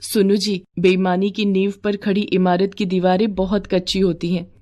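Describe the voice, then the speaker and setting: A woman voicing a caring, devout wife of a poor street vendor, reassuring and gentle in a story. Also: medium pitch, flat delivery, normal speed, lightly acted.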